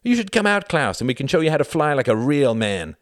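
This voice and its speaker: Man putting on cocky young German pilot, pitched up. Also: very animated, brisk, no echo, clean studio sound. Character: boisterous, cocky young German male pilots, militaristic swagger.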